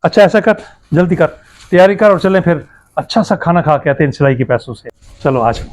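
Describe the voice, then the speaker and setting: Blustering, high-voiced cunning father , male actor. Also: lively intonation, brisk, darkish tone, natural voice. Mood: smug, dismissive. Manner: blustering, dismissive. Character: cunning, hot-tempered father and dishonest customer. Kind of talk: moral story drama acting.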